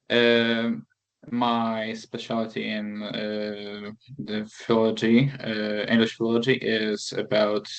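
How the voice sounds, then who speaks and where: A young adult man, a student who answers and asks questions hesitantly with many fillers. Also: on the low side.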